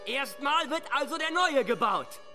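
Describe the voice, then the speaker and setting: Radio drama rabble-rouser, piercingly high forceful voice, deliberate scornful declamation. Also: male voice, animated, faint music behind, exaggerated voice. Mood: accusing. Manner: declamatory, sarcastic. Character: scornful village rabble-rouser opposing the dike master.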